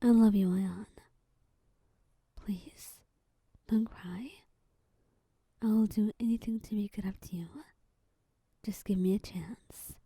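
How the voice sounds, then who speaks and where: A woman doing a young man lover, soft whispery voice, remorseful, slow hushed delivery, very mellow tone. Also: mid-range voice, steady tone, husky, dry recording, clean studio sound. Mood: tender.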